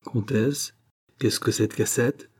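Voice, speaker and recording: A man with a husky voice reading the part of a king, asking questions in a measured, authoritative way. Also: mid-range voice, steady tone, darkish tone. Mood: stern.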